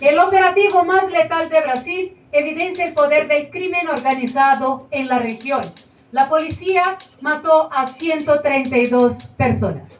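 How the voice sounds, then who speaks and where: Woman playing a radio presenter, high voice, brisk, echoey stage, far from the mic. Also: lightly acted. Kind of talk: stage acting of a radio broadcast. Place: small theater stage. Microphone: far camera. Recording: echoey room from far off, faint noise.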